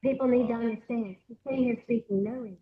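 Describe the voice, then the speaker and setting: High-voiced woman, emphatic guest. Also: steady tone, quick pace, breathy edge, phone-quality sound. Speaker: guest, co-owner. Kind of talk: casual interview chat.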